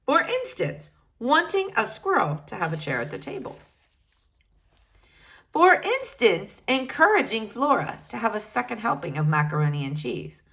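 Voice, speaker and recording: A woman's voice: fairly high pitch, wildly swooping pitch, moderate pace, fairly bright tone. A woman reading a children's novel aloud, shifting her voice for each character as she goes. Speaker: reader.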